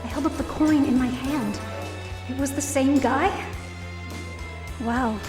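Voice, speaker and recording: A woman's voice: high-pitched, slow pace, echoey room, recorded from a distance, music in the background. A woman playing a down-on-her-luck, distressed young woman narrating her own story in an audio drama. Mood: hesitant, curious. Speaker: lead actor in audio drama. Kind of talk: first-person dramatic narration.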